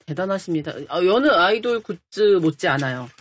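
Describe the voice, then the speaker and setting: A woman's voice: fairly low pitch, lively intonation, fairly quick, background noise. A middle-aged woman co-hosting a talk show in casual, exclamatory chat.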